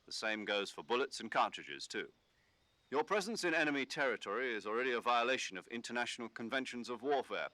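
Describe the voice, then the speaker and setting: A military commander from a video game, calm and in full authority. His voice is crisp-edged and bright, recorded very dry. He briefs in a measured, instructive way. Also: medium pitch, no echo. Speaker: mission commander giving radio briefing. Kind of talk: scripted video game voice acting.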